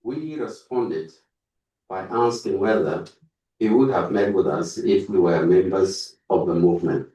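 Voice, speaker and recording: A man reading a prepared tribute aloud at a conference, haltingly, stumbling over words and repeating them. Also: low-pitched, mellow. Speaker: speaker reading out a written tribute. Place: indoor conference room. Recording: roomy echo, distant microphone.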